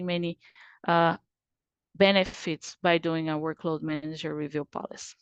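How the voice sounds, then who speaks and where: Low-voiced Brazilian woman presenting. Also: smooth, no echo. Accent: Brazilian Portuguese. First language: Portuguese. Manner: explanatory, frequent "uh" fillers. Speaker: conference presenter. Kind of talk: technical presentation on a video call.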